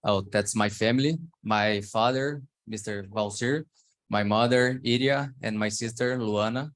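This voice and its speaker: Slow, halting Brazilian-accented man. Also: fairly low pitch. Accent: Brazilian Portuguese. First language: Portuguese. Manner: halting, searching for words in a learned language. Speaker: farmer presenting. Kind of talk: slide presentation on a call.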